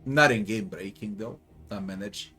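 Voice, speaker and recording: Low-voiced Serbian man grumbling over a game. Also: moderate pace. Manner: grumbling, swearing, muttering to himself. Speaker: gamer doing a playthrough. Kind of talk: casual game commentary. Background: faint game music.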